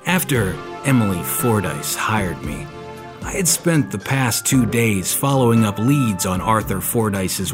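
An actor playing a world-weary, tough private detective in a noir audio drama, laconic and hard-boiled. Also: male voice, lowish voice. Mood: wry, dry. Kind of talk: noir radio-drama narration and dialogue. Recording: studio production with music underneath. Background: music.

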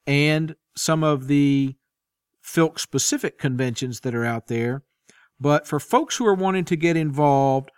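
A man's voice: fairly high pitch, smooth, no echo, studio quality. A man hosting a podcast panel, putting questions to his guests in a conversational way. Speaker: podcast host.

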